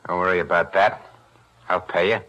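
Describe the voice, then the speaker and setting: An actor playing a tough young ex-convict, curt and slangy, in 1940s radio-drama style. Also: male voice, sits low, level tone, moderate pace, pushed and bright, rough recording. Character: cocky young male ex-convict, hard-edged.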